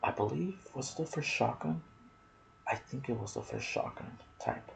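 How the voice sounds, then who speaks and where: A man narrating game lore in ASMR style, soft and breathy, often slipping toward a whisper, musing aloud in casual asides. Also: medium pitch, expressive, normal speed, slight background noise, poor audio. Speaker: gaming video narrator.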